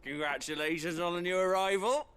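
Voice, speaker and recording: An actor playing a loud, chirpy villager in a video game, calling out. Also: male voice, high voice, brisk, a little hiss or hum. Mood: jovial. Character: loud, chirpy male villager and market hawker.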